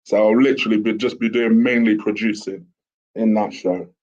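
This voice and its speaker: A man, hoarse from a sore throat, hosting a casual solo commentary show in a chatty, rambling way. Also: on the low side, steady tone, moderate pace, a little mellow. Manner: chatty, rambling with fillers and restarts. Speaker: host. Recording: dull lo-fi home recording with fan.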